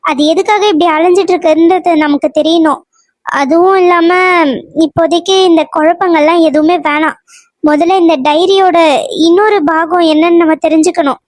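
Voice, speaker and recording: Woman doing an insistent young wife, very high pitched, dry mic, radio-play acting. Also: steady tone, normal speed, darkish tone, no room sound. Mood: earnest. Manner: insistent, earnest. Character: anxious young married woman, quick to take charge. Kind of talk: audio drama acting.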